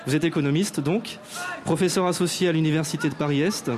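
A man's voice: fairly high pitch, fast talker, a little hiss or hum. A man hosting a live radio show on an outdoor stage, introducing guests in a formal, playfully grand way with frequent hesitations. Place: outdoor stage at an arts venue with audience.

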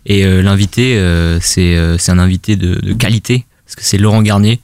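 A man's voice: low-pitched, steady tone, moderate pace, darkish tone, smooth, no echo. A man presenting a recommendation on a podcast in casual chat, hesitant and full of fillers. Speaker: podcast panelist.

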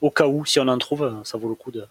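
Male tabletop player, medium pitch, husky, casual and halting. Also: fairly even intonation, a bit bright. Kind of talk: casual game table talk.

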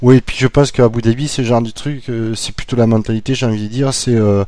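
A man chatting casually as a podcast co-host. He is relaxed, laughs easily and trails off mid-thought. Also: mid-range voice, fast, dry recording. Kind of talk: casual motorsport chat.

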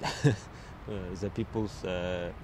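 Halting man outdoors in traffic noise. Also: deep voice, fairly even intonation. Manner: halting, many fillers, searching for words. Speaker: interviewee. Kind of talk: casual street interview. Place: outdoors on a city street.

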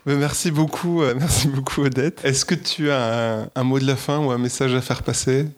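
Male interviewer, mid-pitch, relaxed, rambling questions. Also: normal speed.

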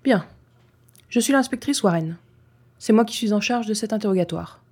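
A woman playing a husky, formal police inspector. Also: mid-range voice, a little mellow. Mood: formal, stern. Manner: formal, measured, procedural. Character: stern, composed female police inspector interrogating a suspect. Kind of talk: scripted audio drama dialogue.

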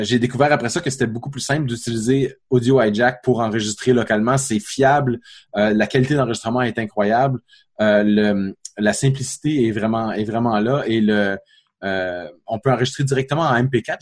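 Husky, hesitant Quebec French man. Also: mid-range voice, darkish tone. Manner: hesitant, many fillers, chuckles often. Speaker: podcaster guest. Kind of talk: casual interview chat.

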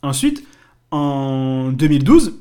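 A man co-hosting a podcast, briskly listing references in casual chat and often saying "voilà". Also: medium pitch, big pitch swings, mellow. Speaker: podcast co-host.

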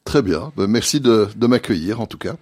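A man being interviewed as a company chief executive, answering in a measured way with frequent hesitations. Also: on the low side, expressive, a little mellow, slightly rough, dry recording, clean studio sound. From born in USA, raised partly in Belgium. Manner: measured, many "euh" hesitations.

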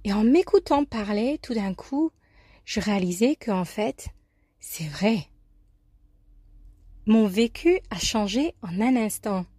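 A woman from the United States with an American accent, giving a scripted, expressive personal talk as a life coach and columnist. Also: medium pitch, takes it slowly, long pauses, dry recording, studio quality. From United States, New York. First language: English.

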